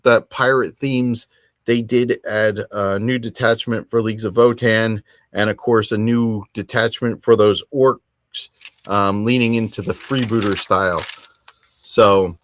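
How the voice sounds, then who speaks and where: A man with a fairly low voice hosts a hobby show on his own. He talks casually and conversationally, thinking aloud and wandering a bit from thought to thought. The sound is dry, with very little room to it. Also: dry recording. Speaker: host of a tabletop wargaming hobby show. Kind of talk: casual solo hobby commentary.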